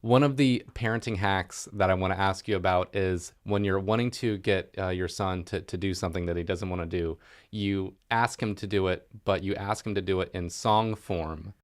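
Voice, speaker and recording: A man's voice: sits low, steady tone, normal speed. A man co-hosting a podcast, responding and asking questions in a conversational style. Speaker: podcast co-host. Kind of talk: conversational interviewing.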